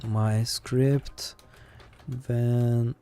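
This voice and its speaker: A man with an Eastern European accent, live-streaming while coding and thinking aloud, with many pauses and fillers. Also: mid-range voice, little pitch movement, mellow, slight background noise.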